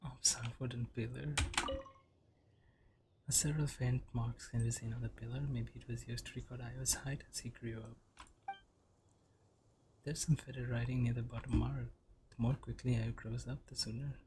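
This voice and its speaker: A woman's voice: very deep voice, background noise. A woman streaming a game, reacting casually to the story and reading on-screen text aloud. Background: game music.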